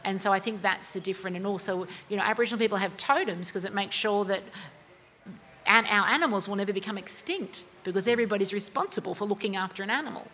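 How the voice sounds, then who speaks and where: A woman's voice: medium pitch, fast, a bit bright. Australian lady author chatting about her book, lots of ums and restarts, bit of faint hiss behind. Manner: chatty, lots of fillers and self-corrections. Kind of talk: interview at a book talk.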